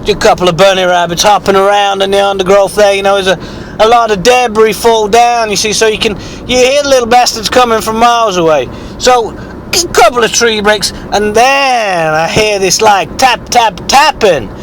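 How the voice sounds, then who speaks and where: A man voicing an eccentric, foul-mouthed rustic bigfoot hunter, husky with a sore throat, telling a halting, rambling, repetitive mock-documentary tale. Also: high voice, fairly bright tone, slight background noise, rough recording. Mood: suspenseful, bewildered.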